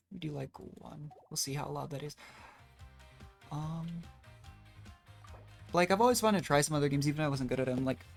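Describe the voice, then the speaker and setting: A streamer giving casual, self-deprecating commentary while playing a video game, with game music in the background. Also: medium pitch. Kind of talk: casual gameplay livestream commentary.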